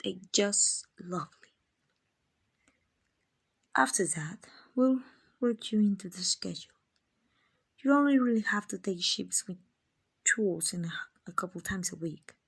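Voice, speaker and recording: Woman doing a kind vampire lady asmr, really slow and breathy, whispery, sweet coaxing owner vibe. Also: medium pitch, expressive, full character voice. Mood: doting, reassuring. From Mexico. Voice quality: soft, breathy, often dropping to a whisper. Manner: gentle, coaxing, slow and reassuring. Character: kind, doting, genteel female vampire owner, soothing and possessive. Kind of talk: ASMR roleplay.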